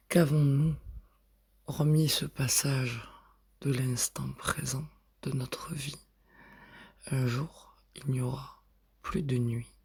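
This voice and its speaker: A man reciting spoken-word poetry in short, measured phrases, with a husky, breathy voice that often drops to a whisper. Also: medium pitch.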